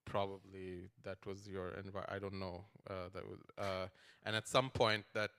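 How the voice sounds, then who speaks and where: A young adult man, a writer and translator, speaking haltingly and searching for words in a casual conversation. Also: sits low, flat delivery, bright, forceful tone, clean tone.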